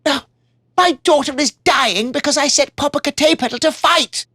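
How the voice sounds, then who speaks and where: A woman's voice: sits high, big pitch swings, a little slow, a bit bright, no room sound, studio quality, pitched above the performer's own voice, heavily acted. A woman voicing a troubled old king and father who speaks in a raised, solemn, regretful voice.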